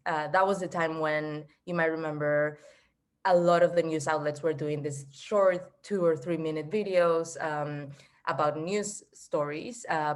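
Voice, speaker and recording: A woman in her twenties from Argentina, telling the story of her career in a steady, fluent way over a video call. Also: low-pitched. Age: 20s. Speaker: journalist guest. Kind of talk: casual career talk.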